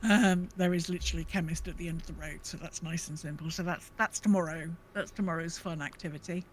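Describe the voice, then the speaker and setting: A woman's voice: on the low side, fairly even intonation, fast talker, a little hiss or hum. A British woman chatting casually as a podcast co-host. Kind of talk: casual chat.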